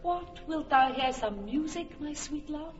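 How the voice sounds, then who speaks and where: A woman's voice: high-pitched, lively intonation, a little slow, in a large hall, recorded from a distance, dull audio, heavy background noise. An actress playing an enchanted fairy queen, cooing tender verse in a radio drama. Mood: doting. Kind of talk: classic stage radio drama.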